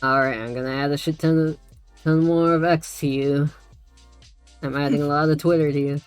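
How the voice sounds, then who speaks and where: A woman's voice: low-pitched, fairly even intonation, normal speed, clear, resonant tone. A woman chatting casually as she works through a tutorial, with music behind her. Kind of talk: casual chat while working on a project.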